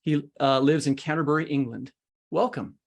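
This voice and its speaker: Man podcast host, higher-pitched voice. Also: normal speed, creaky. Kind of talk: conversational podcast chat.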